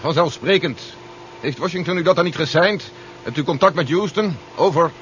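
A man's voice: a little high, normal speed, fairly bright tone, lightly acted. A man plays a stern general weighed down by worry. He speaks seriously and with clipped authority. It sounds like a dull, noisy seventies radio drama recording. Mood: curt, commanding. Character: General Stevens, stern worried military man. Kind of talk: 1970s Dutch radio drama acting.

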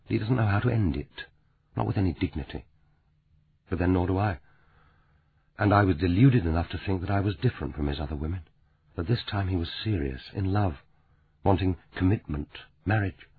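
Soft-spoken hurt young woman, bitter and grieving, read by a deep husky-voiced man, tinny phone-like sound. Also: dry recording, heavily acted.

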